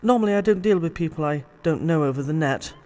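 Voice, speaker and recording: A man's voice: a little high, lively intonation, fast, darkish tone, lightly acted. An actor playing a vain, twisted male hacker in an audio drama, pompous and arch in manner. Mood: smug, theatrical.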